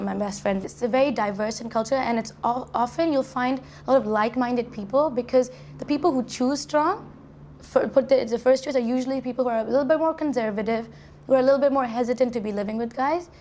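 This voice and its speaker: A quick, high-voiced young woman from Saudi Arabia, talking freely in an interview. She tumbles through her answers with restarts and "like" fillers. The recording is from a university dorm. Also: a little mellow, a little hiss or hum. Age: young adult. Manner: chatty, many restarts and "like" fillers. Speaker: college student guest.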